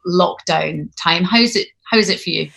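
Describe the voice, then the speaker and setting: A woman's voice: on the low side, moderate pace, vocal fry, a bit bright. A woman working as a radio host, chatting casually and in a friendly way with a guest.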